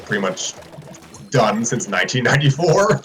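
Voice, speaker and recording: A man's voice: mid-range voice, sing-song, normal speed, a crowd in the background. A man chatting casually in a group, explaining trivia in a matter-of-fact way. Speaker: show regular discussing cartoon lore. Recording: music playing behind the voice. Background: music.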